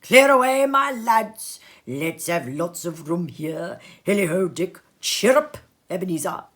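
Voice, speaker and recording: Woman playing a booming, jovial old master, swooping pitch. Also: animated, a little slow, fairly bright tone. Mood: hearty, excited. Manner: hearty calling out, exclamatory. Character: jovial, oily, fat old warehouse master, hearty and booming.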